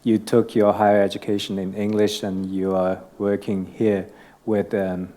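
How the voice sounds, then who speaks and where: Very deep-voiced, mellow woman host. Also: flat delivery, normal speed. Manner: encouraging, prompting questions. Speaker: podcast host. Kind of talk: casual interview.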